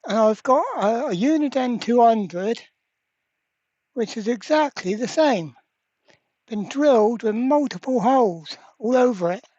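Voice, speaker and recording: A repair channel host talks slowly through the work in a slightly dull, lo-fi recording. The delivery is casual and blunt, with an exasperated edge, as if working each problem out aloud. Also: mid-range voice, expressive, a little mellow, no room sound. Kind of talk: casual hands-on repair vlog narration.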